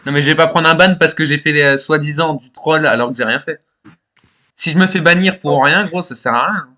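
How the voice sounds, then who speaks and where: A man's voice: on the high side, brisk, bright, forceful tone. A young man chatting casually in an online game voice chat, brash and full of slang and swearing. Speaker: online roleplay game player. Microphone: online voice chat microphone.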